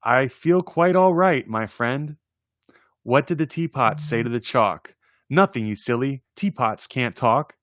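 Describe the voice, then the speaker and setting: A man's voice: mid-range voice, expressive, measured pace, fairly bright tone, no room sound. A man reads playful poems for a story time, taking his time over the words. His delivery is informal and chatty, with an amused air, and the sound is close and dry. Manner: informal, chuckling, chatty asides between readings. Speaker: reader presenting children's poems. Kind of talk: casual read-aloud of humorous poems.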